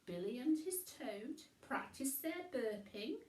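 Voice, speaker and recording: A woman reading a children's bedtime story in a theatrical way, with dramatic pauses. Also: fairly high pitch, animated, darkish tone, roomy sound.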